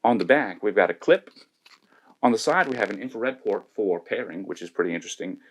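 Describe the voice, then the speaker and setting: A man's voice: on the low side, lively intonation, a little fast. A man giving a methodical, step-by-step hands-on demonstration of audio equipment. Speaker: tech reviewer demonstrating gear.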